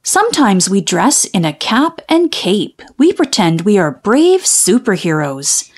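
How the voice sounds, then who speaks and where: A woman reading a children's phonics storybook aloud in a careful, deliberate way, stretching out key sounds. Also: medium pitch, big pitch swings.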